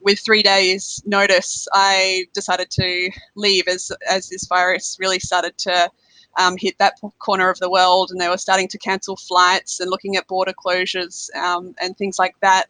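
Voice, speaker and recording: An Australian woman talks easily as a podcast guest, laughing lightly between phrases. Her voice is bright and forward, and her recording is dry with no room echo. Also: lowish voice, completely flat delivery, normal speed. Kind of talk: casual conversational interview. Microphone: remote call.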